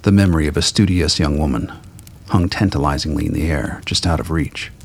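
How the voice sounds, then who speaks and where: A man reading scripted dramatic fiction as a narrator, with a gravelly, husky voice. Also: rumbling low, brisk.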